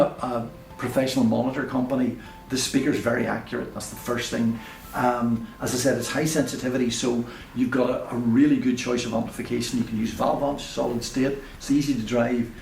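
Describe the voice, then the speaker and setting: A man presenting an informal, unscripted product review as a shop presenter, speaking in a hesitant, halting way. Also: medium pitch, darkish tone. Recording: echoey room, distant mic, faint noise.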